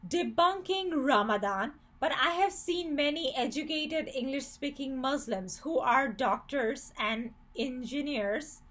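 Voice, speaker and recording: Slow, high-pitched critical woman. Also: fairly bright tone. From Bangladesh. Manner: earnest and critical, with stumbles and restarts. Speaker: commentator. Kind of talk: opinion commentary to camera.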